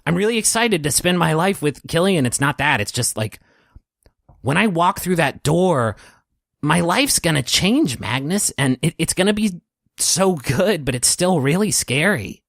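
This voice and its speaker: A man voicing a nervous, excited bride-to-be in a tabletop story, talking in a frantic, halting, earnest way. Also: on the high side, vocal fry, no echo, studio quality, voice pushed up, heavily acted. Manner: frantic, halting, self-doubting.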